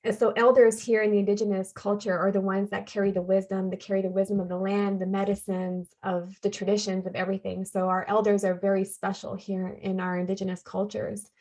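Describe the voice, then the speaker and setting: A woman speaks as a podcast guest, recounting her life in a heartfelt, earnest way. She leans on repeated phrases to drive her points home, in a voice of middling pitch. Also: little pitch movement, brisk, few pauses, a little mellow. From Canada. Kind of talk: personal storytelling in a conversation.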